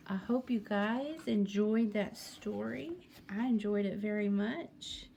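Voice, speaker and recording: A woman's voice: on the high side, vocal fry, mellow, a little hiss or hum. A woman teacher reading a picture book aloud to students, expressively, with asides and questions for young listeners.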